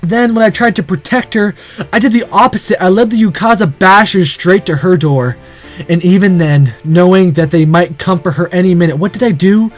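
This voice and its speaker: A man's voice: sits high, moderate pace, soft background music, close to an everyday voice. A man voicing a hot-headed teenage boy hero in a visual novel, anguished, indignant and defiant. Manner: emotional, indignant outbursts.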